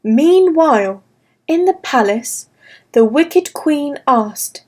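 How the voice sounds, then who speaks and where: Woman reading a kids fairy tale, high voice, real slow and clear. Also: expressive, darkish tone. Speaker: storyteller. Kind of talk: scripted children's story narration.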